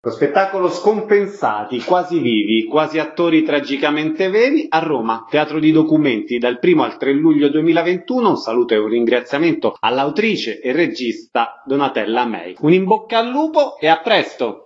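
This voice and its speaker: A man's voice: a little high, sing-song, moderate pace, hardly any pauses, dull audio. A man hosting a radio interview, introducing guests and putting questions to them.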